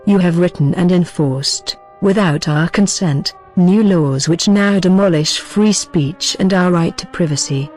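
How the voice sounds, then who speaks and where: A narrator reads a scripted political address in a formal, solemn tone. The voice sits at a medium pitch and declaims each statement, with music playing behind it. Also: a little slow, hardly any pauses, darkish tone. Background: background music.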